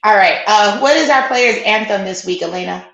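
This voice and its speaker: A woman's voice: mid-range voice, moderate pace, creaky, a bit bright, lo-fi sound. A woman co-hosting a casual sports podcast, chatting and plugging events with loose asides. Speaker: podcast co-host. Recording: roomy echoey sound.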